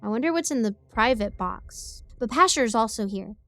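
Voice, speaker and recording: Adult female as feisty young girl narrator, age ten: rambling, indignant, excitable, higher register, music bed, audio story. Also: normal speed, no room sound. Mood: curious, grumbling. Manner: chatty, rambling, indignant asides. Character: excitable, defiant ten-year-old girl telling her story. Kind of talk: first-person scripted story narration.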